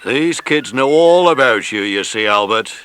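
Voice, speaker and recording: An actor playing a genial, authoritative police inspector in a children's audio drama. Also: male voice, mid-range voice, animated, a little slow, fairly bright tone, smooth. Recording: faintly noisy cassette transfer.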